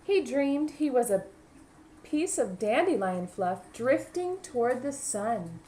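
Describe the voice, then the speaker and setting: A woman's voice: on the high side, sing-song, slow, dark tone, slight background noise. A woman, a kindergarten teacher, reading a children's story aloud and adding teaching asides.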